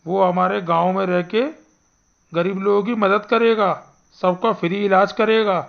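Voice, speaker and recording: A performer voicing an earnest, protective male village headman in an animated Hindi story, speaking emphatically. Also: medium pitch, unhurried, creaky, dull audio. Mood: proud, kindly. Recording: music behind the voice.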